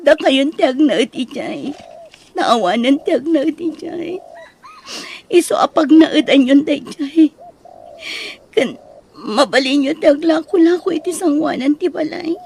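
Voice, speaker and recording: An actress playing a remorseful grown daughter in an Ilocano radio drama, pleading and emotive, with an Ilocano accent. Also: female voice, sits high, expressive, unhurried, gravelly, long pauses, a little mellow, heavily acted. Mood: grateful, pleading. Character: remorseful, pleading grown child seeking parents' forgiveness.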